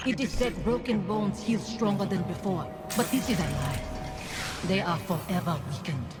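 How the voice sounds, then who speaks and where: A woman's voice: medium pitch, normal speed, over loud music, lightly acted. Woman as a ghostly bitter wife, reproachful, solemn, game score swelling behind. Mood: mournful, accusing.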